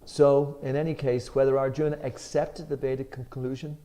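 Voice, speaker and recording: A man with an Irish accent leading a scripture discussion, reading a commentary aloud with emphasis and then explaining it in a relaxed way, with some fillers. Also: mid-range voice, normal speed.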